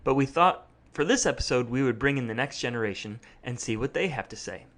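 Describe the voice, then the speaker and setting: A man's voice: mid-range voice, lively intonation, brisk, a bit bright. A man hosting a podcast in a conversational style, talking to listeners and reminiscing casually. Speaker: podcast host.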